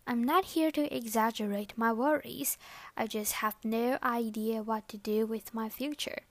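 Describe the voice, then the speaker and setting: Soft-spoken young woman, dry close sound. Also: on the high side, steady tone, measured pace, no echo. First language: Chinese. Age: young adult. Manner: soft-spoken, earnest, lightly scripted. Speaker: podcast host. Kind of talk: reflective personal podcast monologue.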